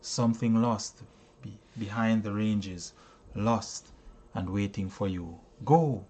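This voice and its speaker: Slow, deliberate male audiobook reader. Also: on the low side, a little mellow, breathy edge. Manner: deliberate, with frequent pauses between short phrases. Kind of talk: scripted narration, self-help audiobook reading.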